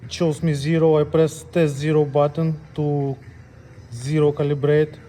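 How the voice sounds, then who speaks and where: Higher-voiced man giving step-by-step instructions, mellow tone. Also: flat delivery, a little slow, slight background noise. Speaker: scale technician demonstrating calibration. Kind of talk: hands-on tutorial, unscripted step-by-step instructions.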